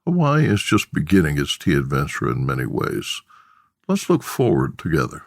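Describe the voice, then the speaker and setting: Very deep, gravelly male host. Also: wildly swooping pitch, normal speed, no echo, clean studio sound. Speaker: podcast host, interviewer. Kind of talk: interview questions and prompts.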